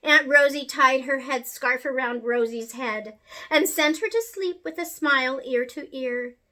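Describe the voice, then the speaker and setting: Bright-voiced woman reading a picture book aloud. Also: high-pitched, unhurried. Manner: expressive, sing-song rhyming delivery. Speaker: storyteller.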